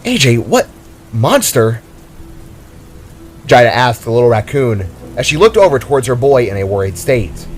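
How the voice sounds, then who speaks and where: A man's voice: medium pitch, lively intonation, fairly quick, few pauses, darkish tone, slight background noise. A man reading scripted dramatic narration as the author of the story.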